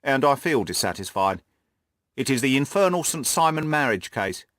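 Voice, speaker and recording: A man voicing a gruff, irritable, cocksure police detective in an audiobook, blustering and snappish. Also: mid-range voice, very animated, moderate pace, fairly bright tone, no echo, studio quality. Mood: frustrated. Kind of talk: audiobook character dialogue.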